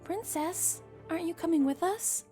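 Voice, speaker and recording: A polite, concerned pony , voiced by a woman in a cartoon dub. Her voice is high, and music plays clearly behind it. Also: moderate pace, dark tone. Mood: concerned, questioning. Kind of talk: fan comic dub acting.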